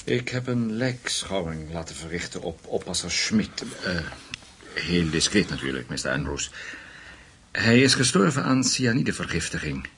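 Senior german investigator in a radio play, low husky voice, formal, pitch moves around a lot. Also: male voice, expressive, a bit bright, a little hiss or hum. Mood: measured, confiding. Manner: deliberate, formal. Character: measured, discreet senior German male investigator, loyal and firm. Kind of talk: Dutch radio play dialogue.

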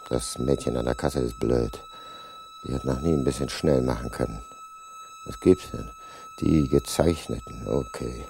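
A man's voice: very high voice, huge pitch swings, measured pace, mellow, soft background music, full character voice. An actor playing a middle-aged murderer in a radio drama who mutters his plans to himself and is courteous to strangers. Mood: brooding. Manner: muttering inner monologue, then courteous small talk. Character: calculating middle-aged male murderer, outwardly polite. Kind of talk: 1980s radio drama acting.

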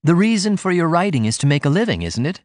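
A man's voice: sing-song, quick pace, darkish tone, no room sound, clean studio sound. A man reading aloud, voicing a prim young woman who pleads and reproaches in a raised, careful voice. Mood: reproachful. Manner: pleading, higher put-on voice.

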